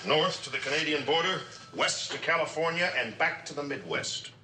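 A man's voice: a little high, moderate pace, bright, forceful tone. A man acting a stern, demanding Air Force general in a vintage suspense drama, with a clipped, commanding, admonishing manner. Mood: firm, lecturing. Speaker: actor in a radio and television drama. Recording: vintage drama soundtrack with background noise.

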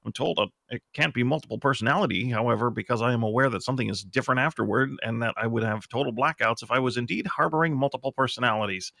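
A man's voice: medium pitch, a bit bright, dry recording. A man reading forum posts aloud on a comedy podcast in a conversational way. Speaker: podcast panelist and reader.

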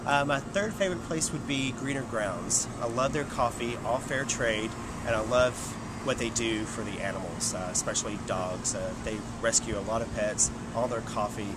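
A man's voice: medium pitch, normal speed, hardly any pauses. A man, talking casually to camera about favorite local places in a friendly, upbeat way with frequent "um" and "uh". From Kentucky. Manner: friendly, upbeat, filler-laden. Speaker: local restaurant worker recommending shops. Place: outdoors by a building, also indoors by a chalkboard. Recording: loud outdoor ambient noise.

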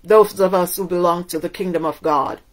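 A woman's voice: lowish voice, steady tone, normal speed, low-quality recording. A middle-aged woman from Cuba whose first language is Spanish, preaching a sermon to camera in an emphatic way, asking many rhetorical questions. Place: indoors in front of a waterfall backdrop.

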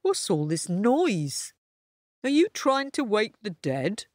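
A cheery, self-important male donkey from a storybook, played by a woman. He speaks with big swoops of pitch at an unhurried pace, bossing his friends along with jovial exclamations. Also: sing-song, darkish tone, no room sound, clean studio sound. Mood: jovial, enthusiastic. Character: vain, cheery male donkey, would-be lead singer. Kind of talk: storybook character voice.

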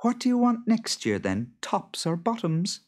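A shrewd farmer's wife in a storybook, voiced by a man, speaks high and lilting. She sounds calm and knowing. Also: a little slow, exaggerated voice.

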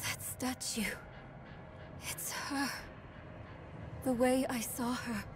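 Urgent, high-voiced young woman. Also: fairly even intonation, takes it slowly, noisy background. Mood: anxious. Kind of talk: dark fantasy game acting.

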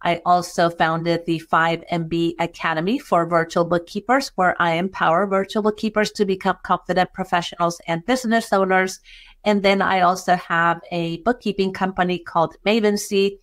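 A middle-aged woman from Chile with a Chilean Spanish accent touched by Texan, hosting a bookkeeping webinar over a video call in a welcoming way with many fillers. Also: fairly low pitch. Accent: Chilean Spanish with some Texan. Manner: warm, welcoming, with frequent fillers. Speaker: webinar host and bookkeeping educator. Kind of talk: webinar presentation.

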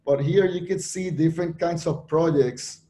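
A man's voice: fairly high pitch, warm tone. Man from Puerto Rico, echoey room. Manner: halting, many restarts and fillers. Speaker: panelist. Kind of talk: presenting on a virtual panel.

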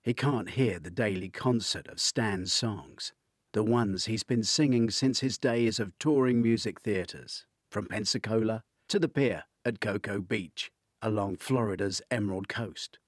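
A man's voice: on the low side, a little slow, no room sound, studio quality. An older man, an author reading his own thriller as audiobook narration.

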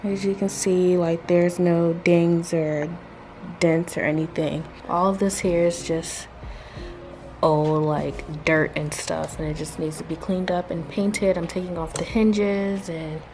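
A woman's voice: fairly low pitch, fairly even intonation, measured pace, darkish tone, a little hiss or hum. A young woman talking to a handheld camera in an empty room of a house she is renovating, chatty and upbeat as she explains her plans. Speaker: vlogger renovating her home. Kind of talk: casual vlog talk to camera. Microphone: handheld camera at arm's length.

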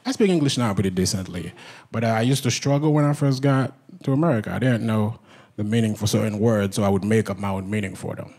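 A man's voice: quick pace, darkish tone. A young man from Haiti with a Haitian accent, whose first language is Haitian Creole, performing a conversational stand-up set on a club stage with a handheld mic. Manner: conversational, jumps into high-pitched impressions of others. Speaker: stand-up comedian. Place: comedy club stage before a live audience. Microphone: handheld stage mic on a stand cable. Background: faint audience laughter.

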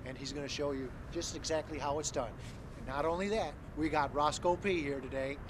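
A man's voice: a little high, fairly quick, background noise. A man introducing a fishing show outdoors in a casual, joking way. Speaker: show host. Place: outdoors at a lake.

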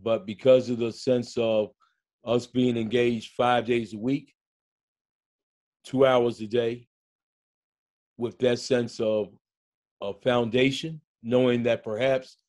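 An older man and school coach speaking on a video call from his home, telling stories in a slow, reflective way during a panel discussion. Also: mid-range voice, darkish tone. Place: home room, indoors.

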